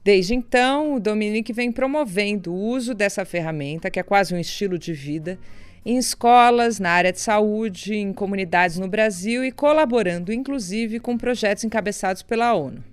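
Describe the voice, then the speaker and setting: A Brazilian woman with a mid-range voice hosts a podcast. She reads a clear, scripted introduction, then asks her guest questions, hesitating a little as she works out how to phrase them. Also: medium pitch, normal speed, dry recording. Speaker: podcast host and interviewer.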